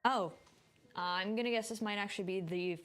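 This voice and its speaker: A woman's voice: mid-range voice, a little fast, fairly bright tone. A woman chatting and thinking aloud as she gives casual live commentary on a game, sounding a bit tired. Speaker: game streamer. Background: game music.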